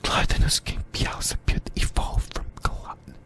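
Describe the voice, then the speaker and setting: An ASMR host of unclear sex, whispering a scripted explainer in a breathy, halting way, often repeating and correcting phrases. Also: a bit bright. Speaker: host of an anime ASMR channel.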